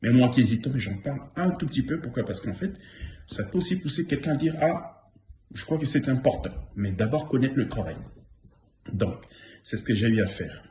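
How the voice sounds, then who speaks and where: Man in his fifties, earnest testimonial, mid-pitched voice, echoey room. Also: animated, fairly quick, a little mellow, slight background noise. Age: 50s. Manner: earnest, repeats himself for emphasis. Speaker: former trainee giving a testimonial. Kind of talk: spoken testimonial. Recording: roomy echo.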